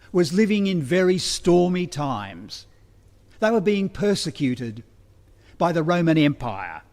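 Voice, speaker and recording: A man preaching a sermon as a minister, deliberate and pausing between phrases. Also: fairly high pitch, darkish tone. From Australia. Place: church, livestreamed service. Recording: long hall reverberation.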